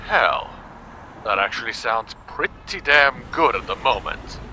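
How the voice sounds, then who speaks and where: Actor as a sharp, forceful, crude male boss. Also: medium pitch, expressive, unhurried, a little hiss or hum. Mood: crude, smug. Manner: vulgar, brash, blunt. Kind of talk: audio drama acting.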